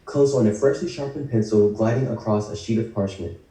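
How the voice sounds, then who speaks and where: Male script narrator, scripted action lines, very dark timbre, roomy and off-mic. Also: lowish voice, brisk. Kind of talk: reading screenplay action lines at a table read. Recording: very echoey room, distant mic.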